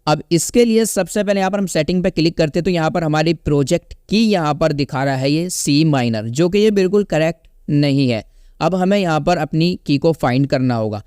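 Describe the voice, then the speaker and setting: A man presents a casual tutorial in a smooth, fairly high voice. He explains one step at a time in an instructional way, often checking that the listener follows. The recording is dry, with very little room sound. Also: lively intonation, a little fast, a little mellow, no echo. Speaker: tutorial host.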